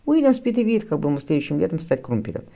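A man's voice: fairly high pitch, sing-song, very fast, vocal fry, mellow, voice pushed up. A man voicing a good-humoured, reassuring admiral and fond husband in a historical novel, with an easygoing manner. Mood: reassuring, cheerful.

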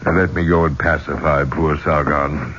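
An actor playing a courtly, idealistic older archaeologist in a 1970s radio drama, with a genteel, formal and slightly hesitant way of talking. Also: male voice, a bass voice, fairly even intonation, normal speed, a bit bright. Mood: placating. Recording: muffled, noisy old radio recording.

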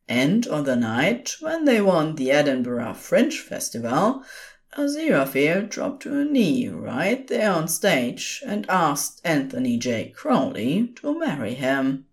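A woman narrating scripted audio fiction as a storyteller, with an expressive, measured delivery. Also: sits low.

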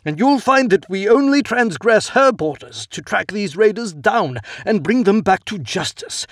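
A man's voice: on the high side, no room sound, clean studio sound, pitched above the performer's own voice, full character voice. A man voicing a pompous, blustering nobleman who rants and complains in an indignant, raised voice.

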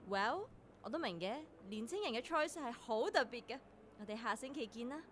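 A woman acts as a polished female director in a radio play, speaking in a measured, suave way with a condescending edge. She, with faint noise behind her. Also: fairly high pitch, lively intonation, fairly bright tone. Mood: suave, appraising. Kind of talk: Cantonese radio drama acting.

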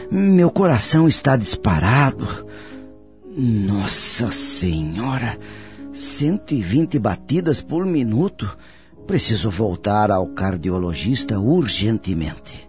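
An anxious, troubled businessman in a radio soap. He speaks slowly and haltingly, with wide swings of pitch. His manner is flustered and fretful, over faint background music. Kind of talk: radio soap opera acting.

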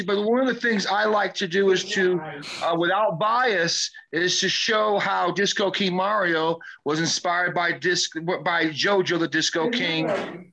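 A man's voice: on the high side, bright, forceful tone, rough recording. A middle-aged man, a guest historian, talking at length in an online show interview, often repeating and restarting his phrases.

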